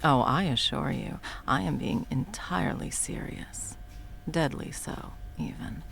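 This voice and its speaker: A woman voicing a scheming female supervillain who swears and often drops to a soft whisper, in a one-sided audio roleplay. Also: sits low, animated, measured pace, vocal fry, slight background noise, full character voice. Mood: whispering, possessive.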